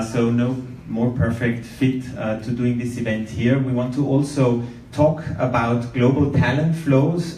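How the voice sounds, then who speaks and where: A man from Austria hosts an event, working the crowd with lively shouted questions. His mid-range voice is picked up from a distance in an echoey room, with faint noise behind him. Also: mid-range voice. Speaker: event organizer and host. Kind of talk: upbeat crowd-work introduction. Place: large event venue indoors.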